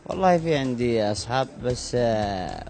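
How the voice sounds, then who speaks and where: A man from Sanaa with a Sanaani accent answering street questions calmly, pausing to think. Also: medium pitch, faint music behind. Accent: Sanaa Yemeni. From Sanaa, Yemen. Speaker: passerby interviewee.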